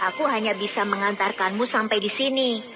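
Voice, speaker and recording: A woman's voice: medium pitch, brisk, lightly acted. A woman voicing a kindly, helpful giant crab in a fairy tale, reassuring and moralizing. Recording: dull audio under loud music.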